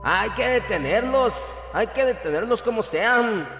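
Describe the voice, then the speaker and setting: A man's voice: high-pitched, expressive, measured pace, roomy sound, long reverb tail, very noisy, voice pushed up. A man voicing a delirious, desperate old male scholar in his seventies, frantic and pleading, in a horror radio play. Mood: frantic warning.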